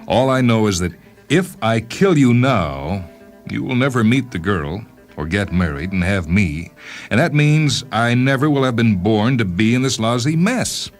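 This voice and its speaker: A man's voice: medium pitch, animated, unhurried, pitched above the performer's own voice, close to an everyday voice. A man voicing an exasperated, self-justifying man in his thirties with a grievance against his father, in a dramatized story reading. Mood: agitated, aggrieved. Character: aggrieved, unhappy 32-year-old man confronting his father. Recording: music bed behind the voice.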